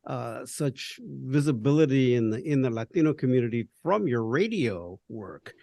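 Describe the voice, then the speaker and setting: A man's voice: mid-range voice, animated, moderate pace, creaky, mellow, a little hiss or hum. A man hosting an interview, asking questions and encouraging his guest in casual chat. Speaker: podcast host.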